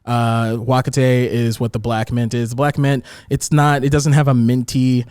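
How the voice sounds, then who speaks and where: A chef guest, a man, explains a dip recipe in loose, joking talk full of side comments. His voice sits at an ordinary middle pitch. The sound is dry and close, with no room in it. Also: little pitch movement, unhurried, darkish tone, smooth, no room sound. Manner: joking, rambling explanations with fillers. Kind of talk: casual cooking chat.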